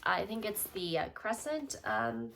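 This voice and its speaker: A woman's voice: mid-range voice, measured pace, creaky, slight background noise, poor audio. A young adult woman who sounds congested, chatting casually through a bag review vlog at home, with lots of fillers. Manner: relaxed, chatty, many fillers. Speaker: YouTube vlogger.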